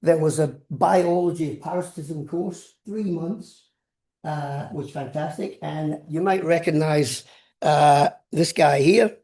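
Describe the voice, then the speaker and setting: A man's voice: on the high side, slow, a little mellow. An older man with a Scottish accent gives an informal academic lecture, rambling into anecdotes and repeating words as he goes. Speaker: professor giving a science lecture. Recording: roomy, echoing lecture sound.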